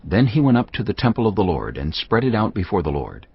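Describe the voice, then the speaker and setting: Man, low voice, animated scripture narration, husky, scripted, slightly muffled. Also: fast, no room sound.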